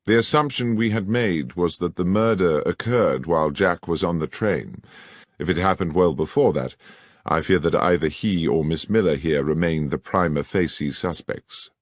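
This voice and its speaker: A man playing a shrewd gentleman detective with an English accent, formal, precise and authoritative. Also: low voice, normal speed, no echo, studio quality. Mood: pensive. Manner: crisp, precise, formal, commanding.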